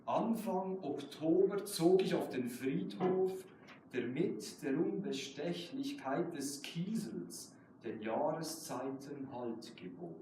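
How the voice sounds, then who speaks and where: A man's voice: on the high side, lively intonation, slow, mellow. Very echoey, distant man presenting poetry. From Switzerland. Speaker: guest poet presenting his work. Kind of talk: live poetry reading with informal remarks. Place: live event in a reverberant room. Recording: very echoey hall, far mic, noisy.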